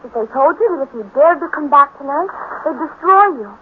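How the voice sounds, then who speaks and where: A faintly noisy, tinny 1950s radio recording carries a high-pitched young girl. She is eager and inquisitive, with a loyal, coaxing way of questioning. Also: expressive, brisk. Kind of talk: 1950s radio drama acting.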